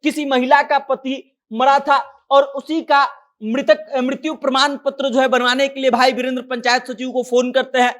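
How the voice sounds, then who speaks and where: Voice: high voice, level tone, normal speed, fairly bright tone. A news presenter giving informal commentary, retelling a story conversationally and voicing quoted dialogue.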